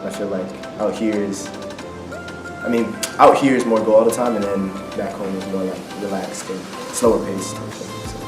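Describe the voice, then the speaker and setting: A young man from Bermuda, an international student answering questions in a relaxed, hedging way. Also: lowish voice, normal speed. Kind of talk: casual street interview. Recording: echoey, distant, music over it.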